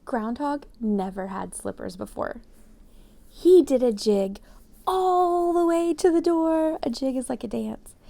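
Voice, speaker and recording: High, mellow woman reading to children. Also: measured pace, vocal fry. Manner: expressive, asks listeners questions. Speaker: host of children's read-aloud show. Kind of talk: storybook narration with asides to children.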